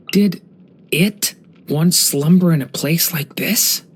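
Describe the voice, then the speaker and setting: A young man voicing a gentle, earnest dragon boy for a video game, polite and slightly hesitant. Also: high voice, breathy edge, full character voice. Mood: gentle, curious. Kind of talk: video game character voice lines.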